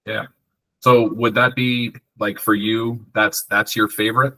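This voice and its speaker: A man's voice: lowish voice, level tone, measured pace, bright, forceful tone, no echo. A man hosting a podcast, asking questions in a laid-back, conversational way with fillers. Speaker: podcast host. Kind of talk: casual interview.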